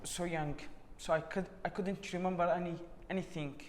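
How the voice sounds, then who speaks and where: A young man from Syria giving short, plain answers in an interview, his voice trailing off quietly at the ends. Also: high voice, fairly even intonation, normal speed, in a large hall, a little hiss or hum.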